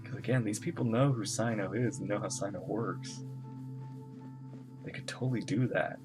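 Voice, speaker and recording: Woman streamer, extremely deep voice, casual, informal, thinking out loud, game music clearly behind. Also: moderate pace, poor audio. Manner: musing aloud, informal. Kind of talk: casual live playthrough commentary.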